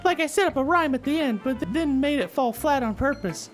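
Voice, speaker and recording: A woman's voice: sits high, lively intonation, fairly quick. A woman voicing a bratty, smug, cutesy young schoolgirl in a visual novel, blunt and defensive. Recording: game music under the voice.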